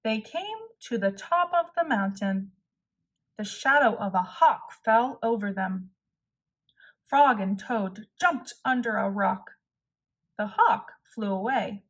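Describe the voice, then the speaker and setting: A woman narrating a children's storybook aloud with deliberate pauses and a storytelling delivery. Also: mid-range voice, expressive. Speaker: storybook reader.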